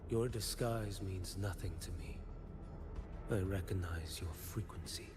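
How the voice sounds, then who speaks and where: A stern game warrior with a low voice and cold, clipped menace. He speaks tersely and gravely, with loud music playing behind him. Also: unhurried. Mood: cold, accusing. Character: grim, stern male dark warrior hunting his brother. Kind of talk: video game voice acting.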